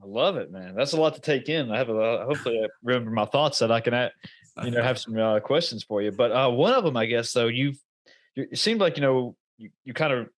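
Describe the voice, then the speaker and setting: A man's voice: mid-range voice, animated, fast, dry recording. A man hosting a podcast, who talks casually and rambles with many restarts. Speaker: podcast host.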